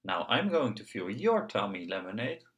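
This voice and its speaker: A man's voice: on the low side, big pitch swings, normal speed, a bit bright. A man voicing a giggly, curious little girl piglet in a children's storybook, playful and childlike. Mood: playfully bossy.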